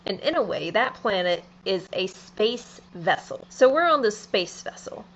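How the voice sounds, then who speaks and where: A woman's voice: medium pitch, big pitch swings, unhurried, a bit bright. A young woman about to turn twenty, musing in a casual, sleepy vlog monologue. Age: young adult. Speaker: vlogger. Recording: slightly dull home video audio.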